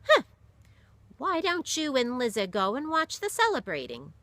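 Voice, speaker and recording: A woman voicing a sharp-tongued old grandmother who snaps and scolds in a read-aloud story. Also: high-pitched, wildly swooping pitch, a little slow, pitched above the performer's own voice, heavily acted. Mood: snappish. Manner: snappish, scolding.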